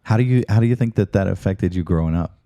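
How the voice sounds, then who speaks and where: A man's voice: sits low, fast, dark tone, dry recording, dull audio. A man hosting a talk show, chatting casually with his guest in an interview.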